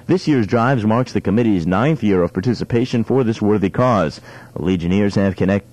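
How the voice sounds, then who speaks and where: A young man reads the local news on radio in a low, mellow voice. He follows a script and occasionally trips on a word. The sound is dry and close, as in a small studio. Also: no echo, low-quality recording. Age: young adult.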